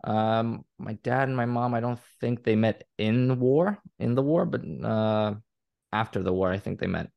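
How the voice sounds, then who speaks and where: A man's voice: mid-range voice, moderate pace, creaky, a little mellow. A young man from Croatia with a Croatian accent, chatting as an interview guest and rambling a little, with lots of fillers and restarts. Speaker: guest, content creator. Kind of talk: casual interview chat.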